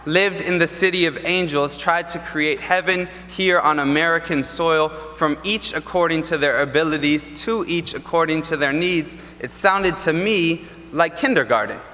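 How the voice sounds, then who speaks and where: A man's voice: a little high, pushed and bright, a little hiss or hum. Man performing spoken word in a reverberant ballroom. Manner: rhythmic, deliberate, impassioned delivery. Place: large hotel ballroom. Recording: long reverb from a large ballroom.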